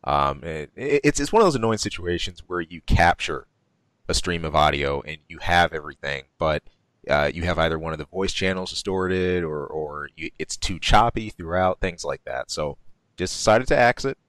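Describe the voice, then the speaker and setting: A man with a very deep voice runs through movie news on a podcast, rambling and restarting often. The sound is close and dry, with no room around it. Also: lively intonation, normal speed, a bit bright, no echo. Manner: rambling, many ums and restarts, laughs often. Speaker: podcast co-host. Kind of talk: casual podcast chat about movie news.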